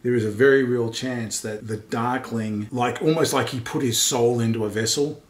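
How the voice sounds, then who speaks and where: A man's voice: mid-range voice, steady tone, moderate pace. Middle-aged man theorizing, echoey room. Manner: speculative, emphatic theorizing. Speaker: vlogger's dad, guest co-reviewer. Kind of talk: casual book discussion chat.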